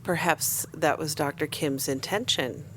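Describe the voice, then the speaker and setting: A woman's voice: low voice, a little slow, background noise. A woman playing a level-headed, probing starship scientist in a tabletop game, matter-of-fact and precise.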